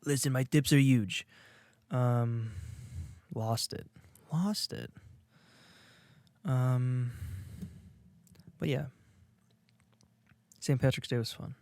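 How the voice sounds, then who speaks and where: Young guy rambling on his podcast, jokey and self-mocking, keeps restarting his sentences, mid pitch, super dry close mic. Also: extremely slow, pauses often, darkish tone, dry recording. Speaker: podcast co-host. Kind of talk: casual comedic monologue.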